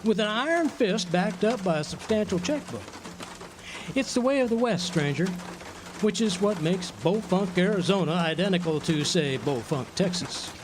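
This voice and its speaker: Man playing pompous, swooping, high-voiced genial drunk. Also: lively intonation, moderate pace, noisy background. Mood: wry, expounding. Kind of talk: radio drama acting.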